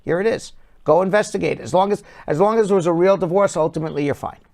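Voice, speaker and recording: A man hosting a live call-in show, giving legal advice in a slightly high voice. He talks briskly and bluntly and repeats a word or two when he cuts in on a caller. He keeps moving from one call to the next. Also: vocal fry, a little mellow. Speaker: immigration lawyer and show host.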